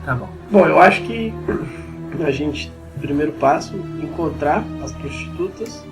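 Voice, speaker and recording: Player: Brazilian man, lightly high voice, casual tabletop game talk over clear background music. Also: expressive, a little slow. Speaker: role-playing game player.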